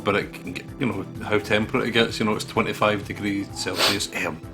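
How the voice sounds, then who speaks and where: A man's voice: lowish voice, fairly even intonation, a little fast. A Scottish man co-hosting a gaming video with casual gameplay commentary. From Scotland. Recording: faint music behind.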